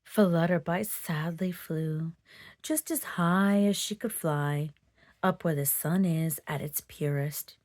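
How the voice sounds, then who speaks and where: A woman storyteller reading a children's picture book aloud in an expressive narrating style. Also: low voice, slow pace, no room sound, clean studio sound.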